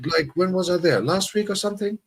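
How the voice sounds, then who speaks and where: A man's voice: high voice, a little fast, gravelly, fairly bright tone, husky. A man from Germany, hosting a casual livestream chat and rambling with many restarts and self-deprecating asides. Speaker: livestream host.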